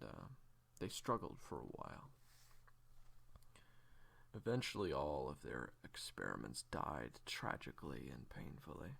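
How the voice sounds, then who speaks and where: A man playing a weary, secretive assassin in an audio drama, telling a dark past haltingly in a gravelly voice that slips toward a whisper. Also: very deep voice, full character voice. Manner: halting, deliberate, with heavy pauses. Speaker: audio roleplay voice actor. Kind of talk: hushed confessional storytelling in audio drama.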